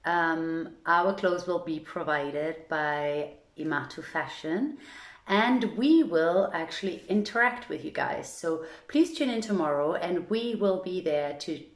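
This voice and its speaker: A woman's voice: on the low side, slow pace. A woman hosting an evening story reading, speaking in a chatty, informal way straight to her viewers, as in a casual livestream introduction. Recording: echoey, roomy sound.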